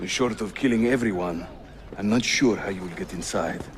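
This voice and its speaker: A man's voice: fairly low pitch, normal speed, a little hiss or hum, natural voice. An actor playing a principled male resistance leader with a wry, thoughtful manner in a dramatic game cutscene. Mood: curious, wry.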